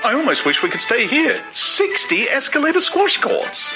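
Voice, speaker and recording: A man's voice: very high-pitched, wildly swooping pitch, moderate pace, music in the background, voice pushed up, exaggerated voice. A male narrator voicing a cheerful, shallow young woman who speaks politely and gushes. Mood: gushing.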